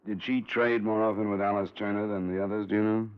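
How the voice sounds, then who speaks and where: An actor playing a tough, skeptical insurance investigator in a 1950s radio crime drama, terse and world-weary. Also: male voice, lowish voice, little pitch movement, fast talker, clear, resonant tone, close to an everyday voice. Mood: probing. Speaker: lead actor and narrator. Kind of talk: 1950s hard-boiled radio crime acting. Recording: muffled old radio recording with music.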